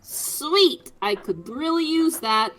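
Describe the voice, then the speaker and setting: A woman's voice: sits high, lively intonation, takes it slowly, fairly bright tone, pitched above the performer's own voice, full character voice, rough recording. A woman playing a friendly druid adventurer who talks like a slangy, chummy bro in a tabletop game. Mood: eager, haggling. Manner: slangy, chummy, casual swearing.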